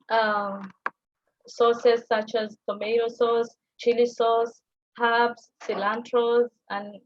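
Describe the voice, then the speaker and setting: A young woman with a Kenyan accent, whose first language is Somali, explaining at an easy pace with many fillers. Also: a little high. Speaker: guest language teacher. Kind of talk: presenting on a livestream call. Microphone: video call. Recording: muffled video call audio with light noise.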